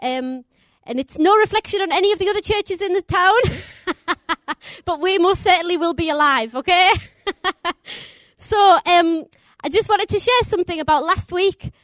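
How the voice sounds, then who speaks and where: Young woman missionary preacher, upbeat and chatty, put-on American twang, high voice, dry sound. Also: moderate pace, a bit bright, no room sound. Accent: put-on American twang on English. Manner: chatty, laughs often, upbeat. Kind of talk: sermon. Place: church.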